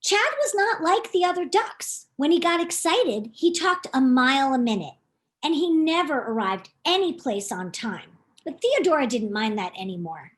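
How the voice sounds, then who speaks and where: A woman reading a children's book aloud in an expressive, playful storytime style, chatting to young listeners as she goes. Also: high-pitched, normal speed. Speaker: author reading her own children's book.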